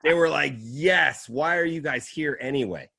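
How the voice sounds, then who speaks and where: A man hosting a casual comedy interview, relaxed and joking, with quick reactions. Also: on the high side, gravelly. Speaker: podcast host.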